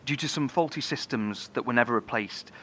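An actor playing a grave, cautious lead engineer of a survivor bunker in a horror audio drama, explaining carefully in a halting, solemn way. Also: male voice, mid-range voice, sharp tone, slightly rough. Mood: grave, analytical.

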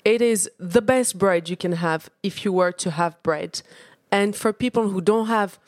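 A woman's voice: mid-range voice, measured pace, no echo, studio quality. A woman co-hosting a casual chat podcast, explaining health facts with conviction. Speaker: podcast co-host.

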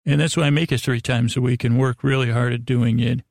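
A man with a creaky, gravelly voice hosting a bedtime podcast in a meandering, halting, lulling monologue that repeats itself and trails off. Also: medium pitch, steady tone, a little mellow, no room sound, clean studio sound. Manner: lulling, soothing delivery. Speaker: podcast host. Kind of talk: rambling bedtime storytelling monologue.

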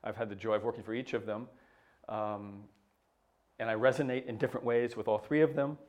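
A middle-aged man speaks in a lowish voice. He answers an interviewer slowly and reflectively, stumbling into frequent restarts and fillers. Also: steady tone, darkish tone. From United States. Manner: hesitant, many restarts and fillers. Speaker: papal nuncio, interview guest.

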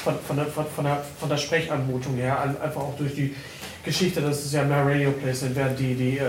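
A man's voice: mid-range voice, level tone, a little fast. Man, panel questioner, wordy and repetitive, roomy echo, faint noise. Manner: wordy, repeats words as he builds questions. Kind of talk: informal questioning. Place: indoor convention hall, live event. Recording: echoey, noisy room, far from mic.

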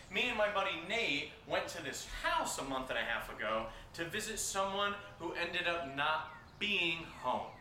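Actor doing fed up sarcastic young guy, bright swoopy voice, ranting and swearing, audio drama, echoey with faint hiss. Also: on the high side, heavily acted. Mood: mock-cheerful. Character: agitated, sarcastic young man fed up with paranormal events. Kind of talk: audio drama acting as a livestream rant.

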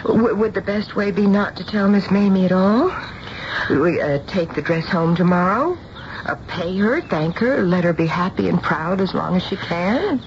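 An actress playing an anxious, gentle middle-aged mother in an American radio drama, with a hesitant, pleading manner. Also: fairly low pitch, expressive, normal speed. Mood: placating. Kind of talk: 1970s radio drama acting. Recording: muffled old radio broadcast, clear noise.

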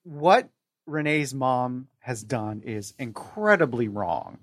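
A man as a podcast guest, giving opinionated, emphatic book commentary with deliberate pauses. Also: medium pitch, very animated, no room sound, clean studio sound.

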